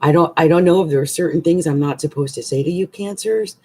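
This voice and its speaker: A middle-aged woman astrologer with a hoarse voice, giving a casual forecast from a small room at home into a webcam, rambling with many fillers and restarts. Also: deep voice, quick pace, warm tone. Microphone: webcam at desk.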